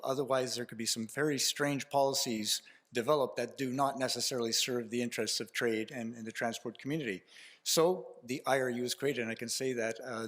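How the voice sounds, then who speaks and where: A man's voice: medium pitch, fairly bright tone. Canadian man giving a chatty conference speech. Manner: informal and storytelling, with frequent restarts and fillers. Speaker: keynote speaker at a conference.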